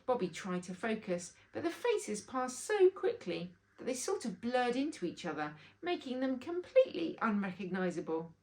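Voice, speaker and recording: Animated, high-pitched woman reading a story. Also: normal speed, few pauses. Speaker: children's author reading aloud. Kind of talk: expressive children's story narration.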